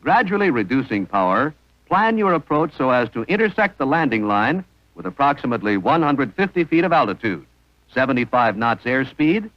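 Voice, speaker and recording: A man's voice: mid-range voice, no echo. Dull vintage film recording, male instructional narrator. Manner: formal, precise instructional delivery.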